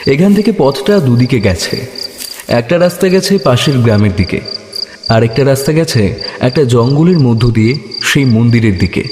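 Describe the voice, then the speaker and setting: A man narrating a scripted horror story as a storyteller. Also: mid-range voice. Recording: echoey, reverberant, with clear background noise.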